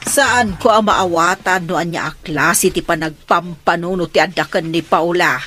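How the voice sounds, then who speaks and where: An actress playing an exasperated mother in an Ilocano radio drama, reproachful and expressive. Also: fairly low pitch, normal speed, soft background music, lightly acted. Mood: exasperated, scolding. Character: exasperated, scolding mother of grown daughters.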